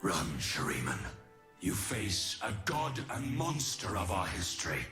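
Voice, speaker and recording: A deep-voiced ancient god-warrior from a video game, solemn and noble. He declaims slowly and formally, with an echo around the voice and music behind. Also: sing-song. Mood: grave, defiant. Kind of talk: scripted video game voice lines.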